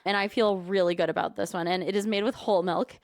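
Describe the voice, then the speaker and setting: A woman with a Midwestern American accent, co-hosting a casual chat podcast, lively and laughing often. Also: medium pitch, fast, no room sound, studio quality. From Michigan, USA. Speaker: podcast co-host.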